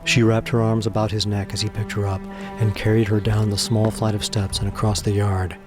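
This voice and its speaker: A man's voice: on the low side, little pitch movement, brisk. A man narrating a scripted audio drama in a theatrical, suspenseful storytelling manner. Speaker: narrator and voice actor. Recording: music behind the voice.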